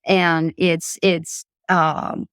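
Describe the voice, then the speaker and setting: Older woman, halting and reflective, dry sound. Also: on the low side, level tone, smooth, dry recording. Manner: halting, searching for words, repeats phrases. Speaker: interview guest, author and psychologist. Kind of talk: reflective personal conversation.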